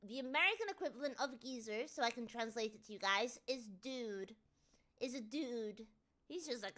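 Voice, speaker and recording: Animated high-voiced Northern English woman. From Northern England. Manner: chatty and animated, jumping to excited shouts. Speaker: VTuber streamer. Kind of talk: casual live-stream chat answering viewers.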